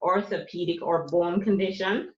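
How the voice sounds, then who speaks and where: Jamaican-born woman guest, thirties, low-pitched, unhurried halting chat, dull lo-fi recording. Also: steady tone. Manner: soft-spoken, hesitant, many fillers. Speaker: musician, guest. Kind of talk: casual interview talk. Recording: lo-fi muffled audio.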